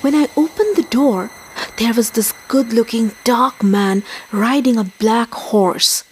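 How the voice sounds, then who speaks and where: Village midwife played by a female storyteller, uneasy and suspenseful, higher-pitched, very unhurried, folktale with music underneath. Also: slight background noise, lightly acted, rough recording. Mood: hushed, uneasy. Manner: suspenseful, measured first-person recounting. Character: startled village midwife recounting an eerie encounter.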